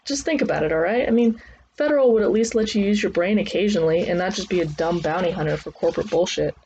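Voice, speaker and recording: A woman's voice: fairly low pitch, quick pace, a little mellow, dull audio, a little hiss or hum, natural voice. Woman voicing a blunt, sly older male mentor. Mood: persuasive. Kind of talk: acted audiobook dialogue.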